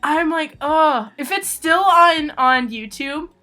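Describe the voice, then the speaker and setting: A woman's voice: high-pitched, slow pace, sharp tone. A woman chatting casually as a channel host, giggly, rambling and self-deprecating. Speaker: webcomic artist and channel host. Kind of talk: casual chat with sister, answering questions. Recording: music under the voice. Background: background music.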